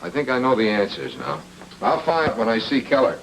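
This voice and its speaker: A man playing a wisecracking, deadpan private detective in a film noir radio drama. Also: lowish voice, a little fast, creaky, heavily acted. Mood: urgent, sure. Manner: deadpan, sardonic. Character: wisecracking hard-boiled male private detective. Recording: noisy vintage radio recording.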